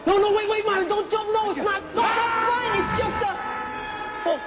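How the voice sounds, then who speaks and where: A panicky film character yells warnings in a strikingly high, strained voice. The sound is roomy and a little dull, with a loud musical score and crowd noise behind him. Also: male voice, fairly even intonation, brisk. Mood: frantic. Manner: shouting, panicky. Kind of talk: film dialogue.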